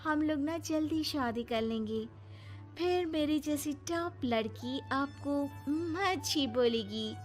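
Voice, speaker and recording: A woman voicing a spoiled, bossy young fiancée in an affected, whiny, drawn-out way for an animated moral story. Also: high-pitched, faint music behind, pitched above the performer's own voice. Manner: affected, whiny, drawn-out, mixes in English words.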